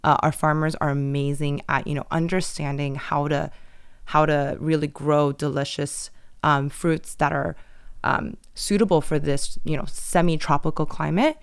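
Mulher com voz lisa e um pouco rouca. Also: female voice, low-pitched, steady tone, normal speed, clean tone, dry recording, studio quality. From Taiwan. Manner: chatty, many fillers and restarts. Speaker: business owner guest. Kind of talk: casual interview chat.

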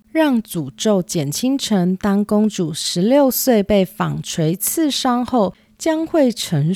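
A woman's voice: mid-range voice, a little mellow, no room sound, clean studio sound. A woman narrating a scripted children's fairy tale as the storyteller of an audio show. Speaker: storyteller, podcast host. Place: dry home recording over music.

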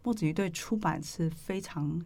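A woman's voice: on the low side, normal speed, darkish tone. A woman with a Taiwanese Mandarin accent hosting an interview in a conversational way. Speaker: interview host.

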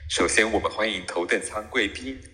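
Man as a lowish, bright airport announcer. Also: fairly quick, long reverb tail, soft background music. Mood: formal, measured. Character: formal male airport boarding announcer. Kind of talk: airport announcement in audio comedy.

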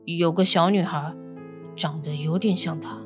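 A man's voice: fairly high pitch, steady tone, unhurried, over music, natural voice. An actor playing a reserved teenage boy investigator in an audio drama, terse and understated. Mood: quiet, pensive. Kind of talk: Mandarin audio drama acting.

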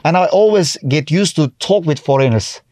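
A man's voice: on the high side, expressive, normal speed, darkish tone, dry recording, studio quality. A middle-aged man from Turkey with a Turkish accent, chatting as an interview guest and telling stories with lots of restarts and acted-out remarks. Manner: chatty, restarts often, acts out his own past remarks. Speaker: guest, TV host and comedian. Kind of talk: casual interview chat.

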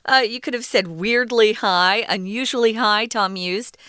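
A woman co-hosting an English lesson podcast, explaining words patiently in a relaxed conversational way with fillers. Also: mid-range voice, normal speed, dry recording, clean studio sound. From United States. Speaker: co-host teaching English.

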